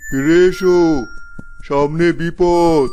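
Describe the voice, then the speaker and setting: A woman as a low, slow wind spirit. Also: steady tone, warm tone. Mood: ominous warning. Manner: slow, drawn-out calling. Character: ominous wind spirit calling out warnings. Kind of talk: cartoon fairy tale acting.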